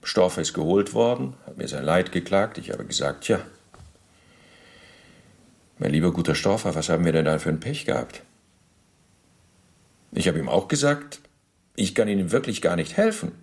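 Low, gravelly, pedantic bureaucrat. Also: male voice, lively intonation, normal speed, pauses often, darkish tone. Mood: self-justifying. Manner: officialese, stiff, shifting responsibility. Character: evasive Nazi bureaucrat under interrogation, self-justifying, pedantic. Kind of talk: German radio play, documentary interrogation.

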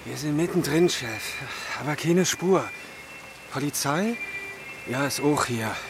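Thug reporting to the boss, radio play, slightly high slow voice, clipped, music behind. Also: male voice, expressive. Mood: businesslike. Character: male henchman reporting to his boss on manhunt. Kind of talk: German radio play acting. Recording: music and vehicle noise behind. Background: music, vehicle.

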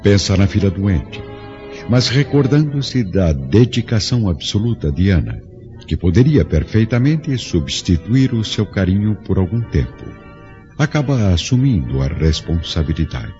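A man's voice: low voice, sing-song, measured pace, dark tone. A man delivering scripted, dramatic narration for a radio drama. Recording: dull lo-fi recording, music behind.

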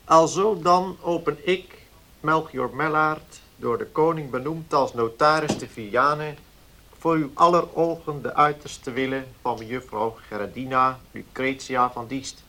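A man's voice: medium pitch, measured pace, bright, forceful tone, slight background noise. A man playing a cautious, fussy village notary in a radio play, formal and hesitant in manner. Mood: officially pompous. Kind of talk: Dutch radio play acting.

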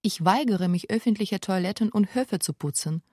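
A woman's voice: mid-range voice, moderate pace, dark tone, no echo, studio quality. A woman narrating a scripted radio documentary feature.

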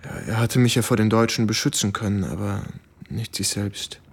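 An actor playing a shaken American soldier who recounts wartime horror gravely and haltingly in a German radio play. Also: male voice, on the low side. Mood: bitter, weary. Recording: studio radio drama with music underneath.

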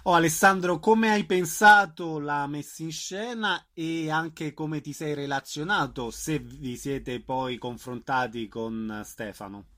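A man asking conversational interview questions, with measured, slightly hesitant phrasing. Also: on the high side, bright, forceful tone. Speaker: radio interviewer.

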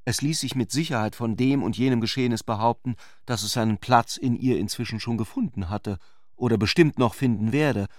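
A man's voice: mid-range voice, a little fast, dry recording, studio quality. A man narrating a literary radio drama from a script.

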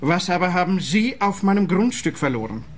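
A smug, shady lawyer with a fairly high voice that rises and falls with lively range. He talks in a pompous, self-satisfied way in a radio play. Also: moderate pace, a little hiss or hum.

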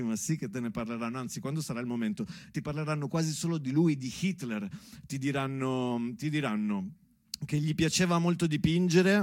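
A man's voice: mid-range voice, darkish tone, no echo, clean studio sound, lightly acted. A man playing a father who reads a rambling, ironic letter to his young daughter as a colloquial, rhythmic stage monologue. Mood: tender, hesitant. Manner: sing-song delivery, colloquial and ironic. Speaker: stage actor and writer performing a monologue. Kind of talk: theatrical monologue, rhythmic spoken word.

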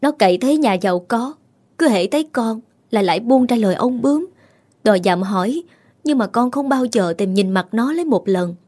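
A woman's voice: on the high side, expressive, normal speed, a little mellow. A woman voicing a grieving young village woman in a horror story, tearful and earnest. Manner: tearful, pleading.

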